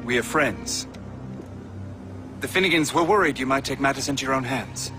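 An actor playing a courteous, formal older army colonel in a video game. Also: male voice, mid-range voice, natural voice. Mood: earnest. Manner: formal, measured. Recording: game audio with music behind.

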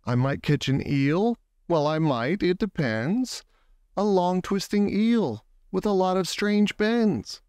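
Male storybook reader, unhurried and clear-toned, stretching words for emphasis in an expressive children's read-aloud. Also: high-pitched, dry recording, clean studio sound. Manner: deliberate phrasing, drawn-out words for emphasis.

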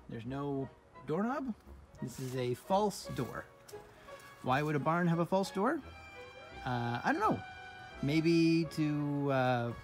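A man in his thirties hosting a casual vlog tour, talking in a halting, playfully dramatic way into a handheld phone. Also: fairly high pitch. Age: 30s. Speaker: vlog host. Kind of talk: casual first-person video tour. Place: at home indoors, then outdoors and inside an old barn. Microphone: handheld phone at arm's length.

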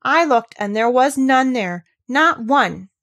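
A woman's voice: medium pitch, animated, moderate pace. Stubborn, fierce young girl, obstinate and indignant, voiced by a woman.

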